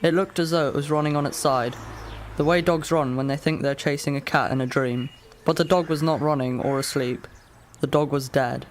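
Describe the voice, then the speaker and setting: A man's voice: fairly high pitch, fairly even intonation, normal speed, a little hiss or hum, natural voice. A man playing a precise, literal-minded fifteen-year-old boy in a radio drama. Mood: matter-of-fact. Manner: matter-of-fact, precise, literal. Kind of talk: radio drama narration and dialogue.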